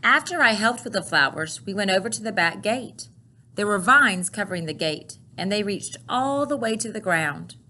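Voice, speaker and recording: A woman's voice: medium pitch, lively intonation, moderate pace, sharp tone, a little hiss or hum. A woman reading a picture book aloud to children, expressively and carefully, with brief asides of her own.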